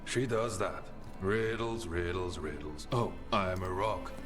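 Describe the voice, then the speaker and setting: A man's voice: deep voice, slow. A man voicing a weary, sardonic living rock creature in a fantasy video game. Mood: sardonic grumbling. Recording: game music behind voice.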